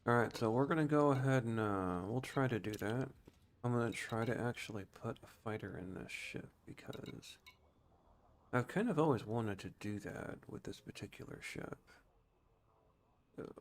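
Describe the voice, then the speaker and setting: A man's voice: medium pitch, moderate pace, slight background noise. A man giving casual gameplay commentary as a streamer, thinking aloud and explaining things informally. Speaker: game streamer.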